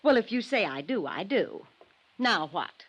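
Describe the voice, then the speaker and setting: An actress playing a cool, scheming apartment house manager in 1950s radio drama, languid and coyly evasive. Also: female voice, mid-range voice, very animated, fairly bright tone, husky, lo-fi sound, heavily acted. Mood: coy, resigned. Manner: languid, coy, deliberate. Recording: vintage radio recording.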